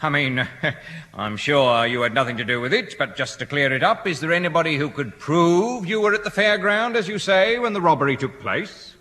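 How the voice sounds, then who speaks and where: An actor playing a polite but officious, slightly weary police sergeant in a British radio sitcom. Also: male voice, a little high, expressive, normal speed, bright, forceful tone. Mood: patient, insistent. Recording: echoey hall, old radio recording.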